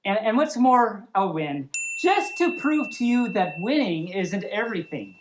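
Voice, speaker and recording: A woman's voice: mid-range voice, big pitch swings, unhurried, noisy background. A woman voicing a self-righteous, stubborn male tortoise, indignant and preachy, in a storybook reading. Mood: defiant.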